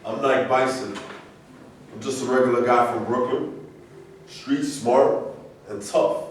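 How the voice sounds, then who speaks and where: A man's voice: mid-range voice, slow pace, close to an everyday voice. A man playing a tough, street-smart former boxing champion in a staged reading. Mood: tough, aggrieved. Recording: echoey room, far mic, faint noise.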